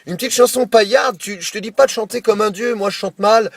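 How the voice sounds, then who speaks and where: A man with a high-pitched voice plays radio game host on a prank call. He improvises his patter and pushes his contestant along with hyped-up, boisterous urging. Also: brisk, gravelly, no echo. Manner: boisterous, pushy, hyped-up exhortations.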